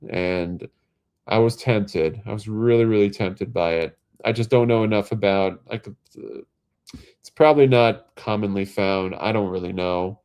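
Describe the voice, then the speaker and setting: A man's voice: lowish voice, darkish tone, clear, resonant tone. A man hosts a casual livestream. He sounds stuffed up and nasal from a head cold. He rambles and restarts his sentences, with plenty of ums and uhs. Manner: rambling, halting, many fillers and restarts. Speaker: livestream host.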